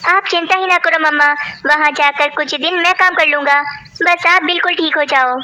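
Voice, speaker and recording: A caring little cartoon bird boy, played by a woman, earnest and worried. The voice is high and bright, over faint noise. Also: fairly even intonation, normal speed. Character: young bird child, caring and worried son. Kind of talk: Hindi cartoon voice acting.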